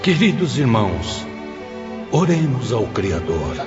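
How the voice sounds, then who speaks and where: A man playing a solemn, grave spirit guardian leader in an audiobook drama, speaking formally and in a measured way. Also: mid-range voice, very animated, in a large hall. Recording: dull lo-fi audio under music.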